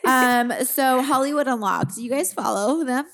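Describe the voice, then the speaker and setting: A woman's voice: sits high, moderate pace, a bit bright. A woman, chatting casually as a podcast host in a slangy, rambling, candid way with some swearing. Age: 30s. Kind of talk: casual, profane podcast chat.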